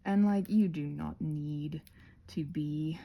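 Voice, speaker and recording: A woman's voice: low-pitched, slow pace, gravelly, warm tone. A woman hosting a casual craft tutorial in a scattered, rambling way, trailing off mid-sentence and talking to herself with a playful streak.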